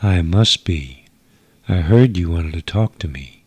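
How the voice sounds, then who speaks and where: A young alien woman acted by a man, defiant and teasing in a radio sci-fi drama. The delivery is a low, insolent drawl with a very dry sound. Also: lively intonation, unhurried, darkish tone, breathy edge, no echo, full character voice. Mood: cocky, defiant. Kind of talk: old-time radio sci-fi storytelling.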